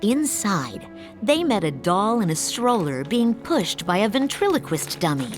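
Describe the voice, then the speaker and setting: A woman narrating a scripted children's storybook in an expressive, carefully paced way. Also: medium pitch, a little slow. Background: music.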